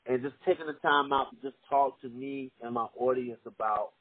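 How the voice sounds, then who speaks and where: A young man hosting a podcast, reading sponsor spots with energetic hype and chatting casually with his guest. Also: moderate pace, breathy edge, no room sound, narrow, tinny audio. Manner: energetic, hype-driven pitch with repeated "all right". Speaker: podcast host. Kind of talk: casual podcast ad reads and interview.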